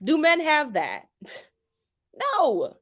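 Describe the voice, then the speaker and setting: High-voiced woman ranting, laughs a lot. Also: huge pitch swings, drawn-out words, fairly bright tone. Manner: emphatic, keeps asking "okay?", laughs often, swears; colloquial, drops into "y'all" and "ain't". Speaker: YouTube commentator. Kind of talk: casual opinion monologue.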